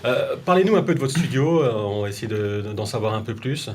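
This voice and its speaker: Co-interviewer, man, husky voice, off-mic and distant. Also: mid-range voice, animated, brisk, a little mellow. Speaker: radio interviewer. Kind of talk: studio interview.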